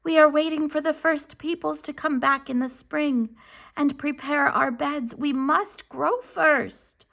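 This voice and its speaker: A woman's voice: sits high, measured pace, vocal fry, fairly bright tone, pitched above the performer's own voice, heavily acted. A woman voicing tiny talking seeds in a storybook, crying out in a plaintive plea. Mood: pleading.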